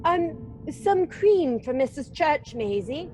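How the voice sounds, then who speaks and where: An actress playing a sweet, demure young widow and bride in old-time radio melodrama style. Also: female voice, sits high, sing-song, slow, music in the background, heavily acted. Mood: soft, wistful.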